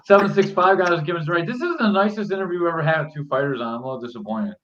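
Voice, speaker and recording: Forty-something guy hosting a podcast, kinda high voice, dull lo-fi audio, jokes around loud. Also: expressive, very fast, crowd noise. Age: 40s. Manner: loud laughing banter, calls people dog. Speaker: podcast host. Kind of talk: casual chat. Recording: dull lo-fi sound with faint noise.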